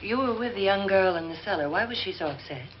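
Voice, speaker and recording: An actress playing the cool, anxious wife of a jealous millionaire in a 1950s horror film, poised and commanding. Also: female voice, mid-range voice, animated, fast, a little hiss or hum, lightly acted. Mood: cool, probing. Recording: dull vintage film soundtrack with music.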